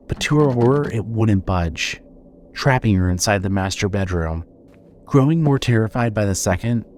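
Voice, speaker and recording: Male horror narrator: lowish pitch, measured suspense, scripted storytelling over faint background noise.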